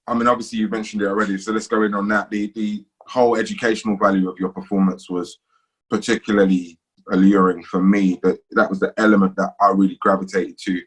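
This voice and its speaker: A man's voice: on the low side, flat delivery, fast, poor audio. A man interviewing a guest, asking probing questions in a conversational way.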